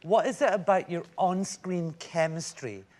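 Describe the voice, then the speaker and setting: Man, high voice, radio co-host, informal onstage questioning. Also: unhurried, darkish tone. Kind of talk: casual onstage interview. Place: radio theatre stage.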